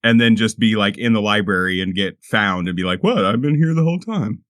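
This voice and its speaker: A man playing a tabletop roleplaying game, chatting casually and often repeating his words as he thinks out loud. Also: fairly low pitch, expressive, a little fast, no room sound, clean studio sound. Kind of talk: casual table chat and in-character play.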